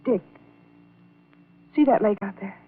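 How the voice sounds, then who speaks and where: A woman's voice: mid-range voice, unhurried, a little hiss or hum, close to an everyday voice. A woman acting an unhappy, pleading wife with a melodramatic manner in an old-time radio drama. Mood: miserable, dramatic. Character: unhappy, pleading young wife confiding in a man. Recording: muffled old radio recording.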